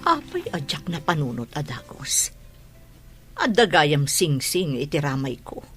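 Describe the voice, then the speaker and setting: A woman playing a troubled wife musing to herself in a slow, husky voice in an audio drama. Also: sits low, sing-song, a bit bright, soft background music, exaggerated voice. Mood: brooding, resolved.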